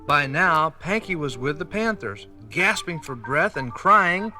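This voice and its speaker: A man narrating a 1980s children's read-along record in a clear storybook manner. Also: on the high side, animated, measured pace, bright, forceful tone. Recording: vintage vinyl record with faint music.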